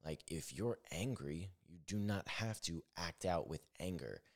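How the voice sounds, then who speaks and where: A young man with a naturally deep voice hosting his own podcast, talking candidly in a rambling, self-correcting way. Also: expressive, measured pace. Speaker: podcast host. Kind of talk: solo reflective podcast monologue.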